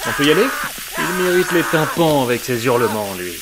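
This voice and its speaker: A man's voice: on the high side, animated, a little fast, heavy background noise. A man playing an unflappable, provocative supervillain in a comedic audio drama, dry and composed. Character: unflappable, dryly provocative male supervillain colleague.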